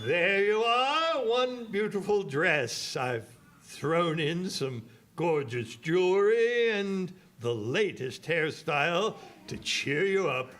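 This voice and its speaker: A fussy, kindly fairy godparent, acted by a man for children's audio theater. The voice sits fairly high and moves very slowly, with theatrical, hesitant explanations meant for young listeners. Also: very animated, fairly bright tone, soft background music, full character voice. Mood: reluctant, exasperated.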